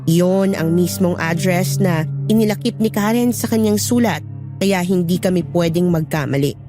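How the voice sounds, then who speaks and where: A woman's voice: on the low side, moderate pace, music in the background, close to an everyday voice. A woman reading scripted first-person horror narration as a storyteller.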